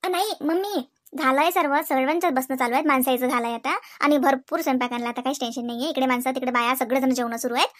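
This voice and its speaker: A woman voices a daughter. She speaks quietly and a bit lower. Her tone stays calm and reassuring. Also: fast talker, lightly acted.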